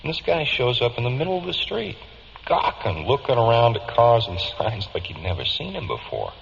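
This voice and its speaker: An actor playing a blunt, sardonic morgue man with a gravelly voice, recounting colloquially in vintage radio drama style, on a dull, echoing recording. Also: medium pitch, very animated, moderate pace, slight background noise. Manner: sardonic, matter-of-fact, colloquial. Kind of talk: vintage radio drama acting, clipped report.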